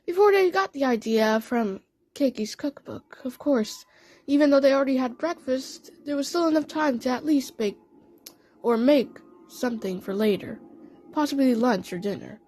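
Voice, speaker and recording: A woman reading out a scripted story with an expressive, storytelling delivery. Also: high voice, normal speed. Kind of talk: scripted fan story narration.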